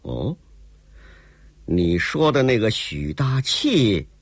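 A male storyteller voicing a proud, quick-tempered young gentleman who talks brusquely. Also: on the low side, sing-song, slightly rough, no room sound, studio quality, exaggerated voice. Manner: brusque, scoffing.

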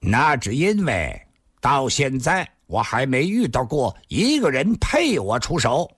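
Guy doing a proud cold kung fu master in a story, curt, menacing, husky, very dry recording. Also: medium pitch, huge pitch swings, a little slow, fairly bright tone, no room sound, voice pushed up, full character voice. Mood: cold confidence.

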